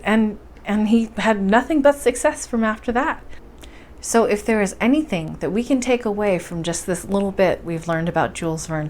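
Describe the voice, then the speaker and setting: A woman reads and presents stories for a library bedtime session. Her pitch moves around in a lively way, and her delivery is expressive and encouraging. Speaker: library storytime reader. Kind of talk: bedtime story reading and introduction.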